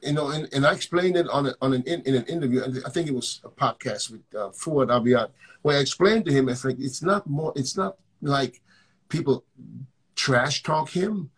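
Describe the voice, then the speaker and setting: A man's voice: medium pitch, normal speed, slightly rough. A man hosting a live video chat from a hotel room, blunt and joking, often repeating words as he talks. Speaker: coach and live stream host. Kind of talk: casual live stream chat with callers.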